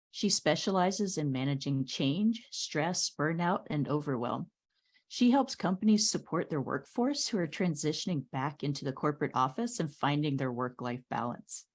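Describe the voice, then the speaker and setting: A woman hosting a podcast, introducing her guest warmly and enthusiastically. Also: sits low. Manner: warm, admiring, enthusiastic. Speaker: podcast host. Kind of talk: interview host.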